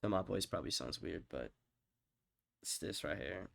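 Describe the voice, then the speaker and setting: A young man with a stuffed-up, nasal voice from being sick, rambling casually with profanity and lots of fillers, often trailing off quietly while he ranks his own tracks. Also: sits low, animated, normal speed, creaky. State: congested, sick with stuffed-up nose. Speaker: music producer ranking his own songs. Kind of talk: rambling casual screen-share commentary.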